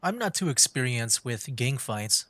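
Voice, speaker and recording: A man's voice: mid-range voice, lively intonation, a little slow, fairly bright tone, dry recording. A man streaming a video game, giving casual playthrough commentary and reading dialogue aloud. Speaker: gaming streamer.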